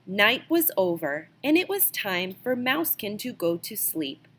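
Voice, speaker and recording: A woman reading a children's picture book aloud as an expressive storyteller, with dramatic emphasis. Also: medium pitch, unhurried. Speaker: storybook reader.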